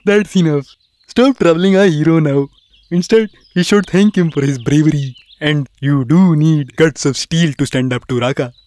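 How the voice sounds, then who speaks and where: A man's voice: fairly high pitch, normal speed, darkish tone, close to an everyday voice. A man voicing a teasing male cartoon animal in a wry, mock-soothing manner. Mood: wry, amused.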